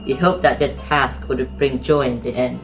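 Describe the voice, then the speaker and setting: Very low-voiced woman narrating in rhyme. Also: fairly even intonation, moderate pace, soft background music. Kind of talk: rhyming scripted storybook narration.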